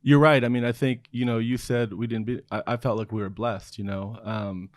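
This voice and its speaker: A man's voice: mid-range voice, steady tone, moderate pace, dry recording. A middle-aged man raised in Oregon, a podcast guest telling stories in a small studio, easygoing and chuckling as he talks. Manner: easygoing storyteller, chuckles through answers. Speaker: guest, design executive. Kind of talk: casual interview chat. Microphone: studio mic on boom arm, headphones.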